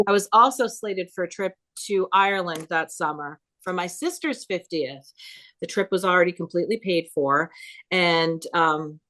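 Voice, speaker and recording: A woman's voice: medium pitch, fairly bright tone. A woman in her fifties speaking as a guest at a town health board meeting, giving an earnest personal account and quoting others along the way. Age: 50s. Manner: earnest, storytelling, quotes others in her own voice. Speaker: guest speaker, cancer survivor.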